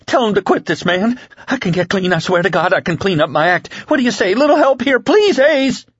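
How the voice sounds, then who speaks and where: A man's voice: high voice, very animated, fairly quick, breathy edge, dry recording, muffled recording, pitched above the performer's own voice, full character voice. A man voicing a desperate young man who babbles and pleads in panic, in an audiobook. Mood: frantic pleading. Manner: babbling, frantic begging. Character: desperate young male drug user, pleading and screaming.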